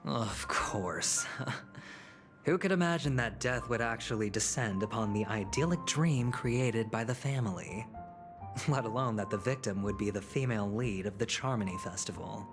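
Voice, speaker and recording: A man's voice: mid-range voice, close to an everyday voice. Casting: male actor for a wry game-character gambler, playful and calculating schemer, music under the dialogue. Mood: amused, confiding. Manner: playful, calculating, chuckles and sighs often. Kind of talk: video game voice acting.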